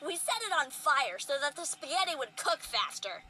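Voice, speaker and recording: A woman in a fan audio drama playing a brash, hot-headed female fish warrior, loud and stubborn. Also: high voice, sing-song, normal speed, heavily acted. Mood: cocky.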